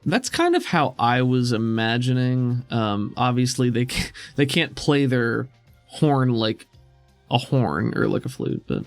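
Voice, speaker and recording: A man's voice: medium pitch, lively intonation, unhurried, dry recording, faint music behind. A man working as game master, narrating and explaining a tabletop game in a casual, conversational way.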